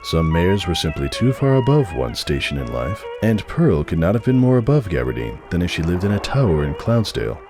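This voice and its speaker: A man's voice: low-pitched, very animated, a little fast, hardly any pauses, music in the background. A man reading a story aloud as a scripted dramatic narration.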